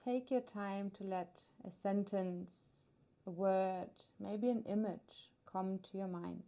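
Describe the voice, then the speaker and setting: Really slow soothing lady doing a guided relaxation, soft dark mellow voice, lots of pauses. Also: mid-range voice, steady tone. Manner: soothing, unhurried instruction with long pauses. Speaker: yoga nidra teacher. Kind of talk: guided meditation narration.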